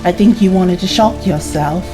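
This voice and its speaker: A woman playing a loyal, level-headed companion in an audio drama, gentle and matter-of-fact. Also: lowish voice, moderate pace, music in the background, lightly acted, low-quality recording.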